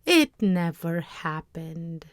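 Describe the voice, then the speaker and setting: Female storyteller for read-aloud tales, lively intonation, measured pace, dramatic phrasing, dry close recording. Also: fairly low pitch, no room sound. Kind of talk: expressive scripted read-aloud narration.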